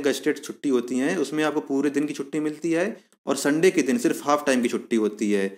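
Male explainer host, slightly high voice, informal step-by-step delivery with repeated points. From India. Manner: repetitive, step-by-step explaining, addressing viewers as friends. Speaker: YouTube channel host explaining a police job.

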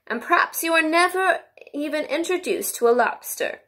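A woman reading a storybook as a mournful male turtle creature, easily excited, with dramatic delivery. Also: sits high, moderate pace, voice pushed up. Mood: wistful.

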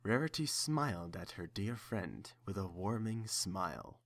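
A man with a fairly low voice serves as narrator. He reads scripted story narration, setting out the action between lines of dialogue. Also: expressive, measured pace.

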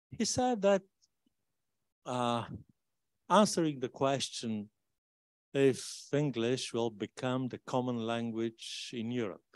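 A man's voice: medium pitch, a little mellow, smooth, no echo. An older man, speaking in an academic discussion at a conference table and stressing key words with emphasis.

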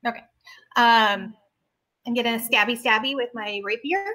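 A woman's voice: fairly high pitch, moderate pace, sharp tone. A woman playing a tabletop game over a video call, playful and fond of silly sound effects. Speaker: player.

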